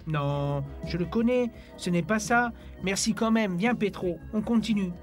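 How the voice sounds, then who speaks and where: Acted young adventurer, cartoon dub, mid-pitched, sensible, measured, prominent music underneath. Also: natural voice. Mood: earnest.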